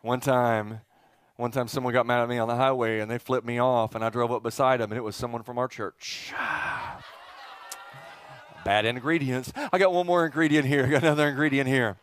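A man's voice: mid-range voice, steady tone, normal speed, a bit bright, smooth. A middle-aged man preaching an informal, interactive sermon as a pastor, folksy and joking, putting questions to his congregation and repeating phrases for emphasis. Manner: folksy, joking, asks the audience rhetorical questions. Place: church auditorium stage, indoors. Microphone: headset.

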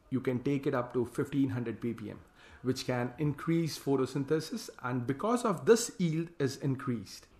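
A man talks through a slide presentation, reading each slide aloud and then adding his own plain explanations. His voice sits at an ordinary middle pitch. The recording carries a noticeable roomy echo. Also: darkish tone. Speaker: hydroponics farm consultant presenting slides.

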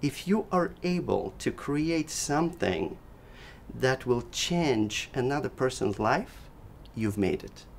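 A middle-aged man whose first language is Russian, a clarinet professor speaking thoughtfully and measuredly in an interview, with pauses and restarts. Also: mid-range voice, expressive, creaky, a little hiss or hum. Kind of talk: reflective interview. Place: living room with daylight window.

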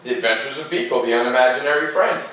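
A middle-aged man reading a storybook aloud to children with casual commentary, expressive and drawing out words as he reads. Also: medium pitch, fast talker. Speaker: read-aloud host. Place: living room, seated in armchair by fireplace. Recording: roomy echo, distant microphone.

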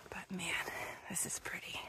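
A woman in her twenties vlogging while thru-hiking a wooded mountain trail, talking casually into a phone held at arm's length, with lots of ums and some near-whispered asides. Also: normal speed. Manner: chatty, with frequent ums, drops to a near whisper at times. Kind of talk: casual vlog narration. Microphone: phone camera held at arm's length.

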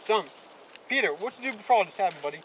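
A man's voice: sits high, animated, very fast, background noise. A young man rehearsing film dialogue in a hesitant, laid-back way, recorded outdoors on a camera mic. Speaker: amateur actor in film outtakes. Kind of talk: rehearsing scripted dialogue, casual. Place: outdoors in woods.

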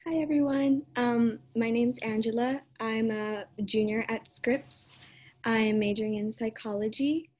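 A woman's voice: on the high side, fairly even intonation, unhurried, a little mellow. A young woman, a student panelist, introducing herself over a video call. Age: young adult. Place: indoor room, video call. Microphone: video call microphone.

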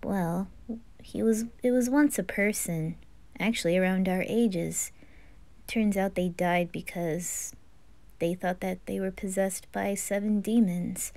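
A woman voicing a cool, sly boy in an anime fan roleplay, quiet, low-key and unhurried. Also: fairly even intonation, creaky. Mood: calm, secretive.

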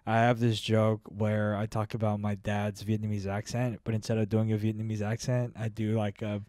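A man's voice: on the low side, moderate pace, dry recording, studio quality. A young man co-hosting a podcast, telling stand-up stories in casual chat with a handheld mic in a living room. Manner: animated storytelling, laughs often. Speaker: podcast co-host, stand-up comic.

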